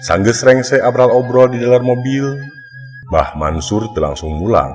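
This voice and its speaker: A man's voice: mid-range voice, a little slow, a little mellow. A man with a Sundanese accent narrating a mystery folk tale in a dramatic storytelling style. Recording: long echoing reverb, music underneath. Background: background music.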